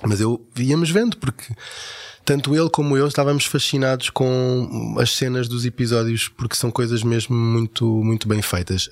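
A man's voice: medium pitch, fairly even intonation, moderate pace, no echo, clean studio sound. A man with a European Portuguese accent talking casually and enthusiastically in an interview as a voice actor.

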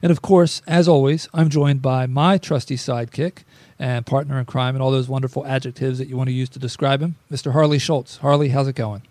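A man hosting a sports podcast in relaxed, rambling chat. His voice is mellow and dark, recorded close and dry with no room sound. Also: medium pitch, brisk, dry recording. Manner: loose, rambling with fillers. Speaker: podcast host. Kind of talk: casual chat.

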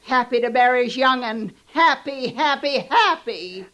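A hard-bitten frontier laundress with a high, folksy rural American voice. She is scornful and sarcastic, forever scolding, in the manner of old-time radio drama. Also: expressive, measured pace, a bit bright. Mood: bitterly sarcastic.

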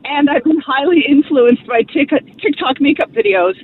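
A woman's voice: sits high, normal speed, creaky, a little hiss or hum. A woman calling in to a radio show, telling a self-mocking funny story with giggles. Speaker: caller. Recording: tinny phone line.

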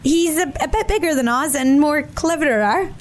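A little nervous bunny, high and squeaky, stumbling over her words as she asks for help, played by a woman reading a storybook. Her voice is pitched well above the narrator's. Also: expressive, moderate pace, slight background noise. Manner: timid, hesitant, pleading. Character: small nervous female rabbit.

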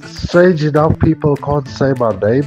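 A man's voice: a little high, unhurried. A man with a nasal voice calling in to a radio show, laid-back and rambling. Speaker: caller. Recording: phone line into radio with music.

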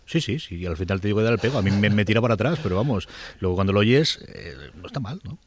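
A man's voice: on the low side, expressive, fast, a little hiss or hum. A man giving casual opinions as a panelist. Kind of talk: casual discussion.

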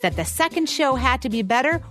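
A woman's voice: high voice, lively intonation, a little fast, fairly bright tone, soft background music. A woman with an American accent co-hosting a podcast, reading scripted narration about radio history. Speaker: podcast co-host.

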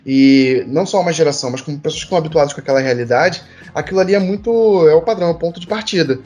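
A man's voice: a little high, rapid-fire, darkish tone, faint music behind. A man with a Brazilian accent speaking casually in a panel discussion, thinking aloud in a discursive way and explaining through everyday examples. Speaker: panel participant.

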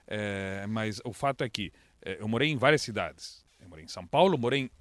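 A Brazilian man, an international correspondent, who speaks with a mixed accent and tells stories with lively swings in pitch. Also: brisk, no echo. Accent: mixed Brazilian Portuguese. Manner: animated storyteller, lively pitch jumps. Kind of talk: casual interview chat.

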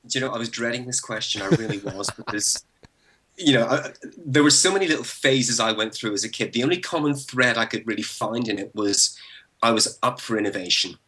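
A man in his forties from Belfast with a Northern Irish accent, thinking back as a podcast guest, with frequent restarts and soft laughs. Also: medium pitch, fairly quick. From Northern Ireland. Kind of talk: relaxed interview over a call.

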